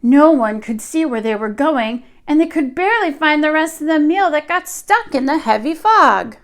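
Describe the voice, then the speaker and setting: Woman, high voice, children's read-aloud, expressive storyteller. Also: moderate pace. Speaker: children's story reader, teacher.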